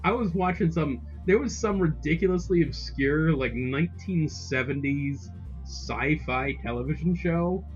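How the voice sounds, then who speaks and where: A man around thirty chatting casually as a streamer, in a halting, rambling, loosely profane way. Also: fairly high pitch. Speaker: game streamer. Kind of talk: casual chat. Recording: dull lo-fi sound, music behind. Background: music.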